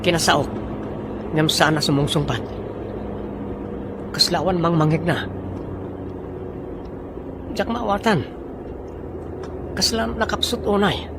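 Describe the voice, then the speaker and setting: A man's voice: fairly high pitch, takes it slowly, long pauses, noisy background. A man acting a brooding, lovelorn boss who muses aloud in a radio drama. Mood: wistful. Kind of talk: Filipino radio drama dialogue.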